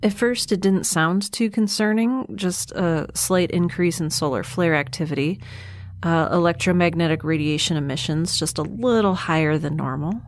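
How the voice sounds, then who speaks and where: A woman playing a weary, wry scientist stranded in space, speaking a halting, deliberate and wordy log entry for an audio drama. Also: lowish voice, lightly acted. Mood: worried.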